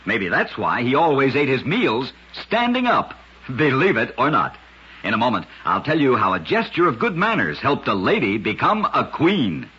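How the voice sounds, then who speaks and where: A man narrating short scripted stories as host of a vintage radio program, with a husky, gritty voice and a dramatic storyteller's delivery, on an old radio recording. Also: medium pitch, huge pitch swings, moderate pace, pushed and bright, dull audio.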